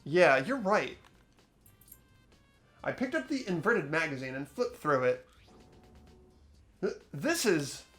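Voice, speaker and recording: A performer voicing an earnest, uneasy teenage schoolboy who narrates an investigation in a visual novel game, hesitant and expressive. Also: mid-range voice, takes it slowly, long pauses, soft background music. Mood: pondering, startled.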